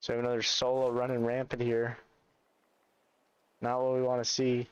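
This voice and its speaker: A man's voice: mid-range voice, monotone, moderate pace, clear, resonant tone, dry recording, lo-fi sound. A man, chatting casually with his livestream chat while playing a hunting game. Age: 20s. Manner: laid-back, chatty, reads and answers chat. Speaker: streamer.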